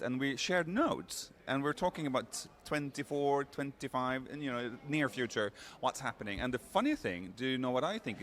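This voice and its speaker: Casting: chatty, upbeat male host, mid-range pitch, casual and rambling. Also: fairly bright tone. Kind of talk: casual interview chat. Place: at a table at a design fair.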